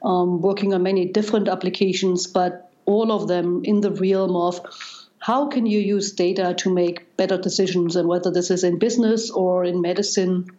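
A woman in her forties from East Germany with a German accent, answering interview questions thoughtfully with many pauses and fillers. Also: fairly low pitch, steady tone, warm tone, dull audio. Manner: thoughtful, many ums and restarts. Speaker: guest, data scientist.

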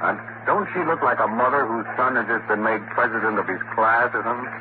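An actor playing a quick-witted, slangy, insistent newspaper photographer sleuth in a 1940s radio drama. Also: male voice, mid-range voice, close to an everyday voice. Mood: confident, brisk. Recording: scratchy, muffled, noisy 1940s radio recording.

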